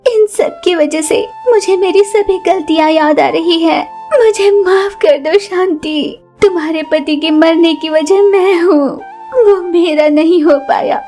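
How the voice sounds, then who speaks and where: A woman's voice: extremely high pitch, takes it slowly, dark tone, soft background music, voice pushed up. A woman voicing a jealous, guilt-ridden young village woman in a dramatic, emotional cartoon story. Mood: remorseful, tearful.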